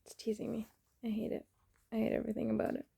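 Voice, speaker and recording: A woman's voice: on the high side, monotone, fast talker, vocal fry, mellow, slightly rough. A woman with a stuffed-up, sniffly nose, talking casually into her phone at home and murmuring breathily between sneezes. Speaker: vlogger. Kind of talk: casual self-recorded vlog.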